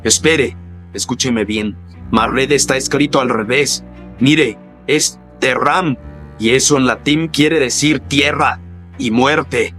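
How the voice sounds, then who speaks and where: A man's voice: mid-range voice, slow, a bit bright, voice pushed up. A man acting a grave, authoritative judge in a horror radio drama, speaking formally and solemnly over a recording with music behind. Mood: grave, commanding. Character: grave, authoritative male judge investigating the uncanny.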